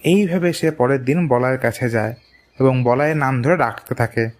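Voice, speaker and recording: A man's voice: mid-range voice, normal speed. A man narrating a scripted moral tale for a cartoon. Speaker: story narrator. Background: music.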